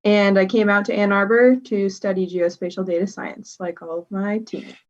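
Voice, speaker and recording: Young woman, twenties, grad student on a call, plain and steady, mid pitch, muffled audio. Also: normal speed. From Washington State. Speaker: graduate student presenter. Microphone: video call.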